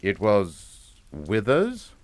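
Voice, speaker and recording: A man's voice: fairly low pitch, wildly swooping pitch, very slow, dry recording, heavily acted. An actor playing a stern, calculating English father in a radio suspense drama, with a clipped, commanding manner. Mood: probing, grave.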